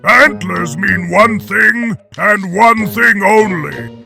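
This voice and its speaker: Cartoon army colonel, high-pitched, very sharp and forceful, contemptuous, crude, barking. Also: male voice, slow pace, vocal fry, faint music behind, heavily acted. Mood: angry, scornful. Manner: barking, profane, emphatic. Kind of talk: animated noir satire voice acting.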